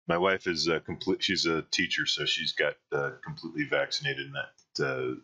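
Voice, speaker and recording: Very deep, bright-toned male host. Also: lively intonation, moderate pace, vocal fry. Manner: friendly, chuckling, many fillers. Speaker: podcast host. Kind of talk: casual interview chat.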